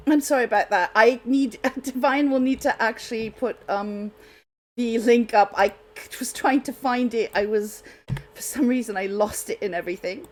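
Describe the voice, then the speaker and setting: A woman's voice: on the high side, normal speed. Scattered, apologetic woman hosting a radio show. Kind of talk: casual unscripted show chat.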